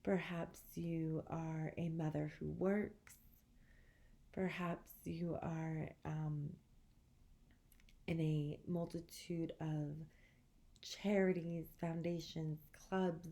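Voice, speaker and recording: A woman instructor leads a relaxation meditation in a soothing, measured manner. Her voice is mellow and somewhat low, with an even, narrow melody. She leaves long, gentle pauses between short phrases. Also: creaky.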